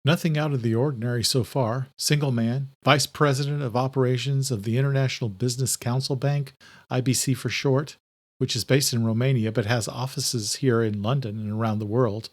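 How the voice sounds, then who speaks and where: Audiobook role: man plays composed investigator, serious and loyal, medium-pitched. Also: steady tone, fairly quick, natural voice. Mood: matter-of-fact.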